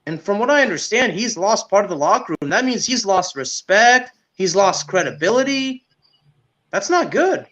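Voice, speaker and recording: High-voiced man giving emphatic football opinions. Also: very animated, moderate pace, a bit bright. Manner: emphatic, repeats words for stress. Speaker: sports podcast co-host. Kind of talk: opinionated casual football commentary.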